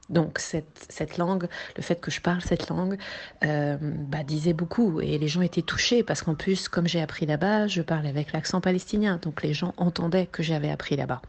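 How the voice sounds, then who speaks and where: A woman with a low voice talks about her documentary work. She speaks thoughtfully and pauses often, filling the gaps with hesitations, like someone recalling things in an interview. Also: darkish tone. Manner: thoughtful, hesitant with many fillers. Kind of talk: recorded interview answer.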